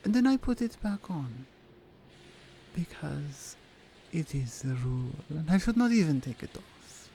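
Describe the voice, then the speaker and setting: A woman voicing a seductive, menacing Russian crime family member with a Russian accent, teasing and commanding in an intimate ASMR roleplay, her breathy murmur sliding into whispers. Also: low-pitched, very animated, warm tone, slight background noise, exaggerated voice. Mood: teasing, confident. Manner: slow, deliberate, dominant and teasing.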